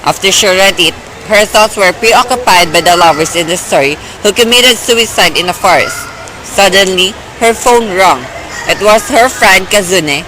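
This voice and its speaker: A young woman narrating an amateur radio drama in a plain, even storytelling voice. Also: medium pitch, normal speed. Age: young adult. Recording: noisy background.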